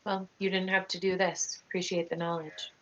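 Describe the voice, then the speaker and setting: A woman's voice: on the low side, level tone, normal speed. A woman chatting casually in character as a player in an online tabletop roleplaying game. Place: online voice call. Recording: dull, noisy online call microphone.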